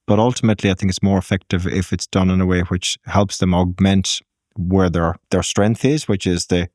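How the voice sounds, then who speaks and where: An Irish man speaking as a business guest in a podcast interview, measured and thinking aloud with frequent ums. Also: low voice, fairly even intonation, darkish tone, no room sound, clean studio sound. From Ireland.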